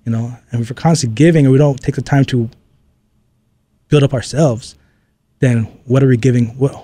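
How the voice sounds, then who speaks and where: A man's voice: medium pitch, fairly even intonation, a little fast, dark tone. A man from Oʻahu, Hawaii, a strength coach as an interview guest, answering thoughtfully and repeating phrases while he searches for words.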